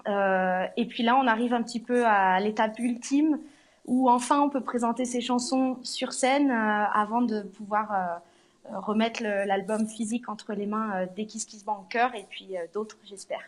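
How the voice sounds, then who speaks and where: A woman singer being interviewed at a venue, speaking haltingly with many fillers and restarts. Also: fairly high pitch, fairly even intonation. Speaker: singer, guest. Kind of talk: live interview on location. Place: arts centre venue.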